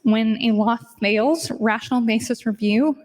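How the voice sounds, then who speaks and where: A woman with a somewhat high voice argues on the floor of a legislative chamber, into a floor microphone. She reads statute language precisely, then drops into casual, chatty asides to press her case. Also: measured pace, darkish tone. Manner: argumentative, mixes statute readings with casual asides. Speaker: state legislator in floor debate.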